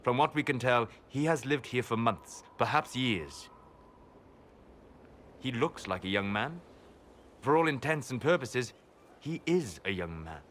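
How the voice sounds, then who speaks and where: An actor playing a scholarly, fascinated expert on spirits in a video game, explaining in a precise manner. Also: male voice, mid-range voice, lively intonation, a little slow, pauses often, fairly bright tone, slight background noise. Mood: intrigued. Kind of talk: video game character acting.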